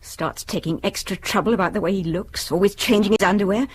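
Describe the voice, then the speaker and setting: Radio drama mother, played by an actress: middle-aged British woman, husky, worried, a wronged wife. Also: medium pitch, brisk, natural voice. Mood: bitter. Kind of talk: naturalistic radio drama acting.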